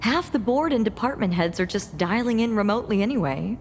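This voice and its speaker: Impatient office worker played by a woman, complaining, long echoey hall with faint rumble. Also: lively intonation, fairly quick, natural voice. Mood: annoyed.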